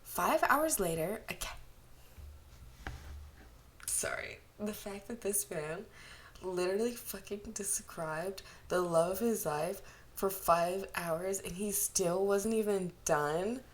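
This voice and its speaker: A woman's voice: mid-range voice, slow pace. A woman with an informal, gushing manner, reading fan fiction and chatting casually to listeners as an amateur narrator. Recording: amateur home recording with background noise. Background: background noise.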